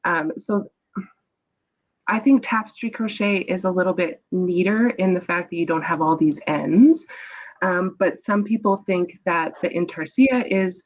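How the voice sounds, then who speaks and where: A woman guest with a stuffy voice from a cold, explaining a craft technique in a relaxed way with fillers, on a video call through earbuds. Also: lowish voice, unhurried, muffled recording. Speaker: guest designer. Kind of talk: casual explanatory interview talk.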